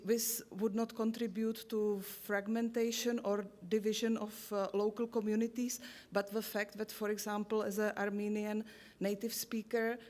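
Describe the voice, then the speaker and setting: A woman's voice: medium pitch, darkish tone. A woman answering as a panelist in a discussion, hesitant and searching for words.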